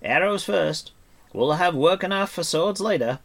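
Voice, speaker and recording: Guy doing a talkative excitable bard guy from a fantasy audiobook, grandiose, voice goes up high and loud. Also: a little slow, vocal fry, heavily acted. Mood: excited, battle-eager. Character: excitable, boastful, valiant bard, adult man. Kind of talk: audiobook character voice.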